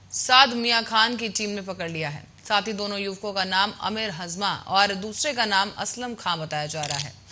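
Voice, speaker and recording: A woman's voice: mid-range voice, pushed and bright. A woman reading scripted news as an anchor.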